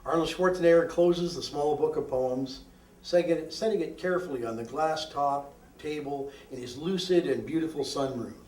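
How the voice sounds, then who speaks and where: A man with a slightly high voice recites poetry in a roomy, echoing space. His delivery is declamatory and deadpan, with deliberate, emphatic phrasing. He sounds some way off from the microphone. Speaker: poet reading own work. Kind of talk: live poetry reading, declamatory. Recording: roomy echo, distant microphone.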